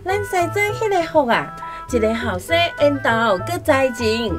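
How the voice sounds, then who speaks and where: Boastful, coaxing rural matchmaker, Taiwanese accent, high and unhurried, radio play with music underneath. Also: female voice, sing-song. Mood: boastful, insistent. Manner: glib, coaxing. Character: smooth-talking village matchmaker woman, persuasive and boastful. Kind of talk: radio drama acting. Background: instrumental music.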